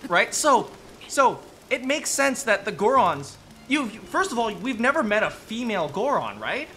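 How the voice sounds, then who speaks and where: A man's voice: high voice, sing-song, a little slow, sharp tone. A man chatting casually about game lore, rambling with many restarts and frequent tag questions. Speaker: streamer discussing game lore with chat. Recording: faint music behind.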